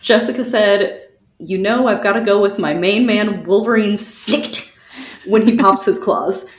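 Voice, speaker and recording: A woman's voice: medium pitch, measured pace. A woman co-hosting a casual group podcast with a playfully opinionated way of talking. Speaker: podcast co-host. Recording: roomy, echoey sound.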